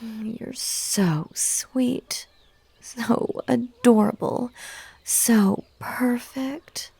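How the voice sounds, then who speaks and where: Woman acting a slow, breathy, lovesick yandere bunny girl. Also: a little high, dark tone, dry recording, full character voice. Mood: tender, soothing. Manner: slow, breathy, coaxing, slipping into whispers. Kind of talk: intimate audio roleplay acting.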